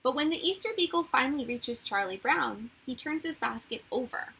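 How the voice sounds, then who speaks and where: Bright, husky woman reading a storybook. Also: high voice, animated, normal speed. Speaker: teacher reading a storybook to children. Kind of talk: expressive children's storybook narration.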